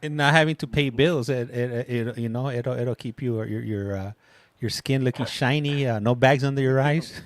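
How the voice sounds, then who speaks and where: A man's voice: medium pitch, moderate pace, dry recording. A man hosting a call-in show, easygoing and stumbling as he restarts his sentences. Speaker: show host.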